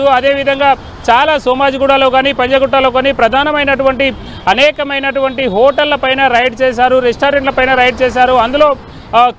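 Very high-pitched male street reporter. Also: a bit bright. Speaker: field news reporter. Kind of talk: live unscripted news report. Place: busy city roadside, outdoors. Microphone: handheld reporter microphone. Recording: faint outdoor street noise. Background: faint traffic.

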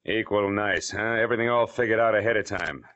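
An actor playing a wry, hard-boiled American private detective in an old-time radio drama, terse and sardonic. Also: male voice, fairly low pitch, steady tone, brisk, fairly bright tone, close to an everyday voice. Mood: dry, sardonic. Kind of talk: 1940s radio noir narration and acting. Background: music.

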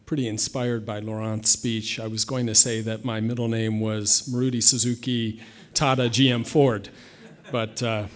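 A man's voice: on the low side, level tone, moderate pace. A man in his thirties giving a conference presentation from a stage podium, with light humor and frequent fillers, speaking English as his first language. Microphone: podium mic.

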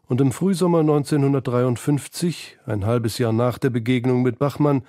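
A man's voice: medium pitch, moderate pace, mellow, no room sound, studio quality. A man reading scripted narration for a documentary feature.

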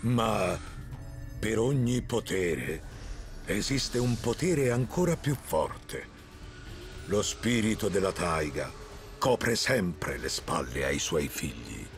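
An actor voicing an old, wise animal who tells tales slowly and gravely in a children's cartoon. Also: male voice, low voice, sing-song, full character voice. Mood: grave, solemn. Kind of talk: scripted cartoon storytelling. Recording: music behind.

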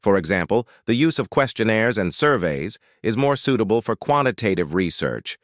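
The low-voiced man on a language exam practice track, reading out a model passage in a dry, echo-free booth. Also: very animated, moderate pace. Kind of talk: scripted read-aloud of practice passages.

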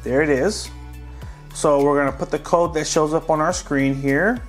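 Relaxed man walking through a gadget tutorial. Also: fairly high pitch, moderate pace, faint music behind. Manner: friendly, relaxed, walks viewers through each step. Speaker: tech tutorial host. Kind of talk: casual step-by-step tutorial voice-over.

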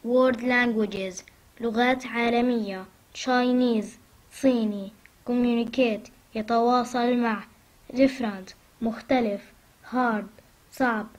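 A woman teacher with an Arabic accent, a native Arabic speaker, reading a lesson aloud carefully and translating it, halting at times in English. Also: fairly high pitch, a little mellow, low-quality recording.

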